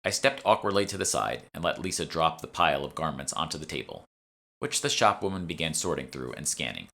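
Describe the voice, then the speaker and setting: A man's voice: rumbling low, sing-song, brisk, vocal fry, pushed and bright. A man reading scripted narration from a fantasy novel as its author. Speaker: author reading own audiobook.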